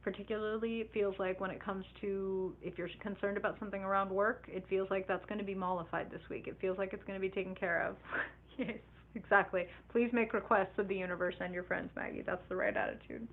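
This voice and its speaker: A woman giving a live-streamed tarot reading in a chatty, animated way, swearing casually and acting out imagined inner voices. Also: medium pitch, fairly quick. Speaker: tarot reader. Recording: tinny phone-like live stream audio.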